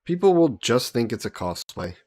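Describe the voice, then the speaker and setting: A man voicing an easygoing, teasing young adventurer hero in an intimate fantasy roleplay audio drama, casual and gently coaxing. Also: mid-range voice, sing-song, moderate pace. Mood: playful teasing. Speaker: voice actor.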